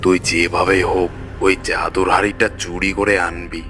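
A man's voice: sits low, little pitch movement, moderate pace, bright, forceful tone. A man voicing a greedy, domineering village landlord in a cartoon fairy tale, with a bossy, pompous manner. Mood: scheming, bossy. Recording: music behind the voice. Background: music.

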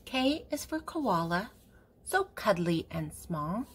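An older woman reading a children's picture book aloud, speaking in simple, deliberate phrases for young listeners. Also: mid-range voice, very animated, fairly bright tone, breathy edge. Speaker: children's book reader and channel host.

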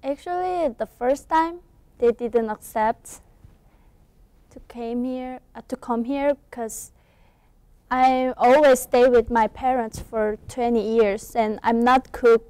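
A young Korean woman speaks English as a second language, in a smooth, clear and somewhat high voice. She talks slowly, in halting simple phrases broken by soft laughs. Her lapel mic gives a close, dry studio sound. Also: dry recording. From South Korea. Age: young adult. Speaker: student guest. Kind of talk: studio interview. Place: small college TV studio.

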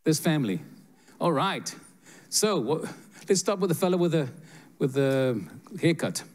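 An older man giving prophetic words to listeners in a church sanctuary, speaking with a handheld mic in a lively, joking, direct manner. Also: a little high, a little slow. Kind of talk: prophetic ministry to congregation. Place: church sanctuary with seated congregation.

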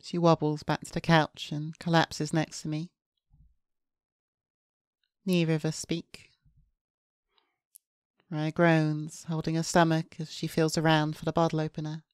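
A woman's voice: deep voice, completely flat delivery, measured pace, long pauses, smooth, dry recording, studio quality. A woman reading a visual novel's first-person narration aloud as a VTuber. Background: music.

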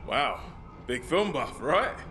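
An actor playing a friendly, easygoing zombie-outbreak survivor in a video game cutscene, talking casually and in slang. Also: male voice, medium pitch, very animated, takes it slowly, slight background noise, exaggerated voice. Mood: casual, curious. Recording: loud background music.

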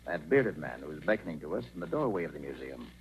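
An actor playing a confident, genial master detective in a 1940s radio drama. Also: male voice, rumbling low, very animated, fast. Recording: muffled, noisy 1940s radio recording.

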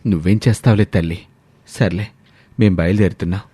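A man acts out an older father in a family tale. He sounds weary and proud, grumbling with resignation in a lowered pitch. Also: steady tone, brisk, dark tone, breathy edge, no echo. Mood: resigned, grumbling. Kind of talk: dramatised family moral story.

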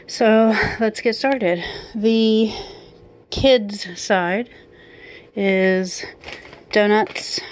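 A woman in her thirties chatting casually as she walks through her groceries in her kitchen at home, with plenty of ums and quick self-corrections. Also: mid-range voice, a little hiss or hum. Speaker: vlogger and mother. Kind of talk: casual grocery haul walkthrough.

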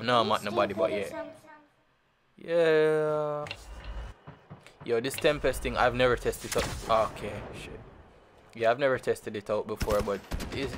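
A Jamaican man chats casually through a round of a mobile battle royale, circling back on his words and leaning on fillers. His voice sits fairly low. Game music plays clearly underneath him. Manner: laid-back and rambling, with many fillers and repeats. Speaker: gaming channel host. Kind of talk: casual gameplay commentary.

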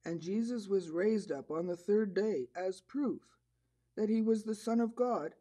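A woman voicing an earnest disciple puppet, telling a story to children in a deliberate manner. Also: medium pitch, dark tone, husky. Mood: solemn. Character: earnest disciple puppet recounting Jesus' return.

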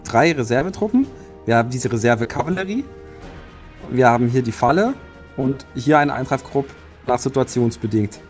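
A man's voice: mid-range voice, lively intonation, moderate pace, soft background music. A man playing a tabletop game, talking through battle tactics in a matter-of-fact way. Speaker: player. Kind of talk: strategy discussion in a tabletop game.

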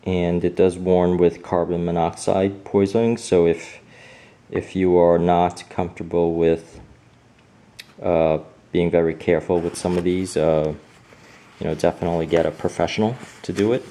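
Low slow guy, kinda mellow, umms and restarts a lot, home diy vibe. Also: steady tone. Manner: hesitant, many fillers and restarts. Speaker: home fixer-upper showing a manual. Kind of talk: casual off-camera walkthrough.

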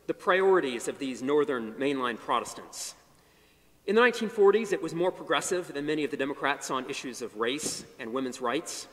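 A middle-aged man lectures from a podium in a large chapel, his fairly high voice carrying long reverb through the hall. He speaks in measured phrases, stressing each opening and letting it drop low at the end. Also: fairly bright tone. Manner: measured, emphatic phrase starts falling to low endings. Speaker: history lecturer. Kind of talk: academic lecture. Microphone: podium mic.

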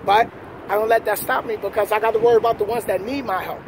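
A man's voice: high voice, steady tone, quick pace. A man giving an emphatic, slangy motivational chat as a vlog host, repeating his points. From New York City. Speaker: trucker vlogger, host. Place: outdoors beside a parked semi truck. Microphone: camera at arm's length. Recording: loud outdoor wind and vehicle noise.

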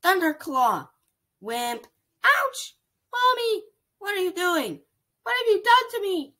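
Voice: very high voice, sing-song, slow, sharp tone, voice pushed up, heavily acted. A voice actor playing a mocking male clown robot who taunts his opponent. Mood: taunting.

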